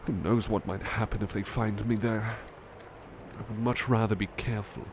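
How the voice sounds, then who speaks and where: A man playing a gentle, bashful butler turned into a cuddly plush doll in an ASMR roleplay, speaking tenderly and politely with hesitant pauses. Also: fairly low pitch, fairly even intonation, background noise, close to an everyday voice. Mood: hesitant, hopeful. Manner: hesitant, polite, tender, halting with soft repetitions. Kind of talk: ASMR roleplay, soothing personal attention.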